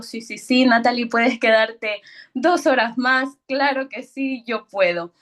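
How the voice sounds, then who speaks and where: A woman in her thirties from Ecuador tells her work story as a guest speaker, hesitant and a little nervous, with fillers and soft laughs. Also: on the high side. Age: 30s. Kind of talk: personal story talk.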